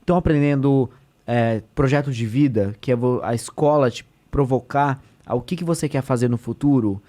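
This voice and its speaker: A man's voice: mid-range voice, moderate pace, dark tone. A man, a state deputy talking as a podcast guest, explaining in an earnest, conversational way with many fillers and restarts.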